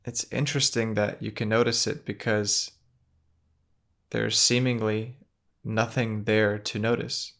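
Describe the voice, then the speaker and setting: A man leading a guided meditation in a gentle, measured manner, with long pauses between phrases. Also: on the low side, level tone, gravelly.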